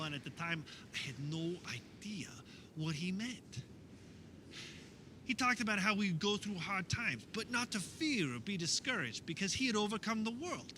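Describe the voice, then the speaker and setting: Casting: male actor as an earnest fisherman disciple, high voice with wide swings, wistful radio-theater monologue, noisy recording. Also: sharp tone. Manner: conversational, wistful storytelling with wondering pauses. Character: earnest fisherman disciple of Jesus, fondly recounting memories. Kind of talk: dramatic first-person monologue in religious radio theater.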